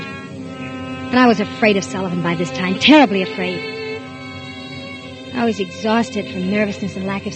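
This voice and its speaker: An actress playing a nervous, guilt-ridden housewife, narrating in 1940s radio drama style with a tense, fearful delivery. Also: female voice, medium pitch, lo-fi sound, natural voice. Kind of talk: 1940s radio acting with first-person narration. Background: music underscore.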